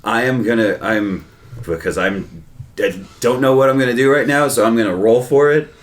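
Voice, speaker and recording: A man's voice: mid-range voice, moderate pace. A man playing a tabletop game, talking in casual, joking table chat.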